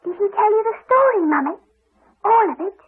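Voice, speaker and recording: Very high-pitched little girl. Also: expressive, fairly quick. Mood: anxious, pleading. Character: plaintive, inquisitive young daughter. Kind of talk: 1980s radio drama acting. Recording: muffled, narrow-band 1980s radio broadcast.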